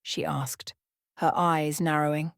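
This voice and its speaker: Female bedtime-story narrator, ASMR style, slightly low voice, very dry sound. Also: level tone, slow pace, gravelly, clean tone, no echo.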